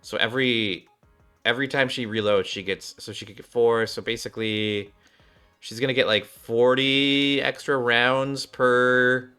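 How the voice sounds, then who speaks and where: A man's voice: mid-range voice, steady tone, a little slow, pushed and bright, clear, resonant tone, faint music behind. A man doing casual game commentary, thinking aloud in a rambling way, with a slightly worn voice. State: voice slightly off, recovering. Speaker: gaming streamer, host.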